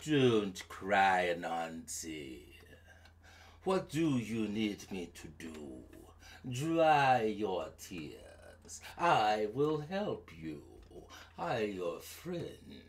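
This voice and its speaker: A storyteller doing a slow hushed big snake. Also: mid-range voice, sing-song, slight background noise, voice pushed down. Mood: soothing. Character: large gullible male snake, slow and soft.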